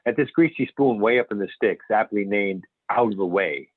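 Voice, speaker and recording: A man's voice: fairly low pitch, normal speed, dry recording, lightly acted. A man playing a chatty, mildly self-important doctor in an amateur script reading, a little hesitant. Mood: dryly amused. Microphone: conference call. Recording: tinny phone-like line.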